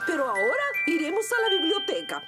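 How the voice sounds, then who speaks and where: A woman's voice: extremely high pitch, huge pitch swings, fairly quick, heavily acted. A woman voicing a stern, grumpy, exasperated school principal in a Spanish-dubbed children's cartoon. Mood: strict. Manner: strict, exasperated. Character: stern, grumpy female rabbit school principal, never smiling. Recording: music behind the voice.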